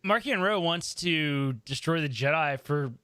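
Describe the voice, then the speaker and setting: Man, podcast panelist, animated intonation, bright forceful voice, joking casual chat. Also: on the high side, normal speed, clear, resonant tone, no room sound, clean studio sound.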